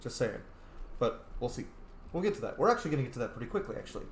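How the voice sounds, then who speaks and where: A young man talks casually over his video game, chatty and opinionated. His pitch sits in an ordinary middle range. The room sounds echoey, and there is a faint noise floor. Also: sing-song, rapid-fire. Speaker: player and commentator. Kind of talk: casual let's play commentary.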